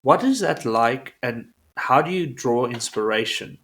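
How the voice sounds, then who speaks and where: A man, an Afrikaans speaker, hosting a casual interview, animated and swearing freely. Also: medium pitch, unhurried. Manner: animated, swears, acts out quotes. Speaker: podcast host.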